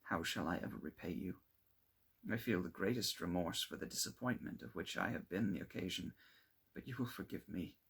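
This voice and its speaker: Man playing a husky, haunted young gentleman. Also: low-pitched, brisk, heavily acted. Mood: grateful remorse. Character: feverish, guilt-ridden young man, haunted and emotional.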